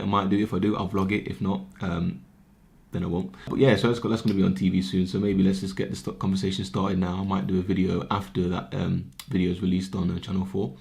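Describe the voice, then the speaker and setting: Very deep, gravelly man ranting. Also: a little mellow. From Britain. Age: 20s. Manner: earnest, impassioned, repeats words as he thinks. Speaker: vlogger. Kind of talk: casual to-camera rant. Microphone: camera mic, talking to camera.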